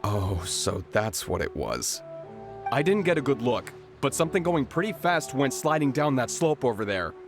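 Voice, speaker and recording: Animated, concerned passer-by. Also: male voice, medium pitch, normal speed. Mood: musing, concerned. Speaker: video game character voice. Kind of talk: scripted game dialogue. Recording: music behind the voice.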